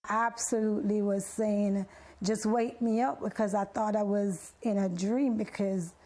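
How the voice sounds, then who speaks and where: A woman's voice: medium pitch, fairly even intonation, measured pace, warm tone. A woman from Jamaica, giving personal testimony in an interview. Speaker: bereaved mother being interviewed.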